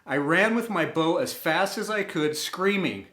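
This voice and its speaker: A man narrating a scripted personal story in an inspirational style, with a measured delivery and deliberate pauses. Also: sits high, animated, pushed and bright. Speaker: storyteller and host. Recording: roomy, echoing room sound.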